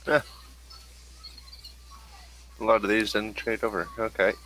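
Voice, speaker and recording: A man running an online tabletop game as game master, talking haltingly and muttering as he goes. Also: fairly low pitch, flat delivery, fairly bright tone, rough recording.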